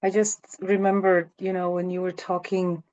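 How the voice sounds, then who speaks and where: A woman's voice: fairly low pitch, flat delivery, normal speed, mellow, lo-fi sound. A woman from Croatia moderating a panel discussion, thanking the speakers and passing the floor.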